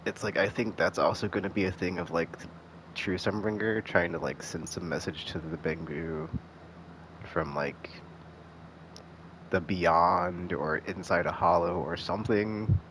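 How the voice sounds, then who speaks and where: A man giving casual live commentary as a game streamer, rambling and halting, often restarting with "like". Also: low voice, steady tone, a bit bright, a little hiss or hum, low-quality recording. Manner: rambling, halting, heavy use of filler "like".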